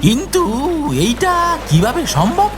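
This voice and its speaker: A man playing an animated high-voiced village fisherman. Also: a little slow, music in the background. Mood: amazed. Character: excitable village fisherman, scheming, dreaming of riches. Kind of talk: cartoon voice acting.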